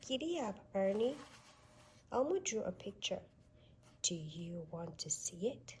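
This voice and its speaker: A woman reading a children's storybook aloud with expressive, sing-song delivery aimed at young children. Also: medium pitch, unhurried, slight background noise, poor audio. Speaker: storybook reader.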